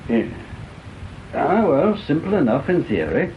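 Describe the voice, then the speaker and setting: A man's voice: on the high side, big pitch swings, unhurried. An actor playing an erudite, dryly witty older Englishman in a radio drama, musing pedantically in an English accent. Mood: musing, donnish. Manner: pedantic, wry, leisurely musing. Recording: thin phone-like old radio recording, faint noise.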